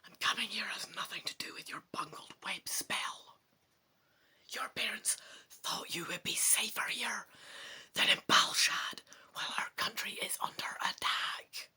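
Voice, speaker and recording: A man's voice: sits high, a little slow, slightly rough, whispered, pitched above the performer's own voice, exaggerated voice. A man voicing a stern, ancient female elf tutor who admonishes her pupil in a dramatic gamebook reading. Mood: sharp whisper. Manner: sharp, hushed, admonishing. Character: stern two-hundred-year-old female elf tutor and bodyguard.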